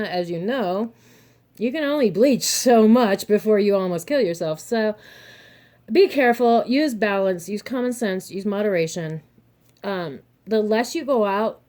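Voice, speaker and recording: A young adult woman, a little hoarse and throaty, chatting frankly to her viewers with advice, laughing often and cursing mildly. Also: mid-range voice, darkish tone. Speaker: vlogger, single mother and reseller. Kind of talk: casual advice monologue to camera.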